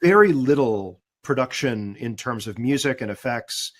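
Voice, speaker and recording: Man, mid-pitch, easygoing guest, thoughtful, plenty of ums, video call. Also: moderate pace. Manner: thoughtful, relaxed, with many fillers. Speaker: guest voice actor and demo producer. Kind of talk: casual webinar chat.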